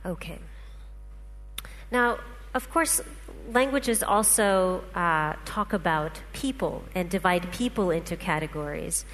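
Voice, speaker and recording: A woman's voice: fairly low pitch, lively intonation, takes it slowly, fairly bright tone. A woman, a native Russian speaker, explaining patiently with examples in a talk to a panel. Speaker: speaker presenting research. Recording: hall reverb, faint hum.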